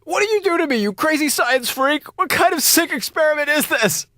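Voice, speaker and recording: An actor in a radio drama playing a sick, captive space station officer, sarcastic, rambling and frantic. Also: male voice, very high voice, lively intonation, brisk, bright, forceful tone, dry recording, clean studio sound, exaggerated voice. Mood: outraged. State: feverish, coughing.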